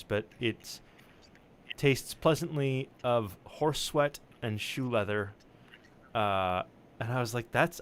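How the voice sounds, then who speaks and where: A man chatting casually and telling anecdotes in a rambling, jokey, emphatic way. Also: medium pitch. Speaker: livestream show panelist.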